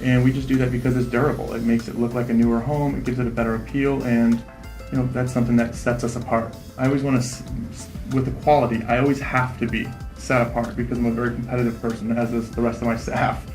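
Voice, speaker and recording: A man in his thirties, a real estate investor, answering interview questions quickly and confidently, often tagging "right?" onto his points. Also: medium pitch, flat delivery, roomy sound, over music. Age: 30s. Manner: fast, confident, repeats "right?".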